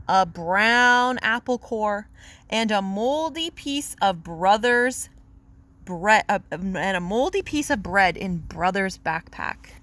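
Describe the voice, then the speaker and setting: A woman's voice: medium pitch, fairly bright tone. A woman reading a children's picture book aloud in a simple, teacherly, explanatory manner. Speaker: read-aloud storyteller.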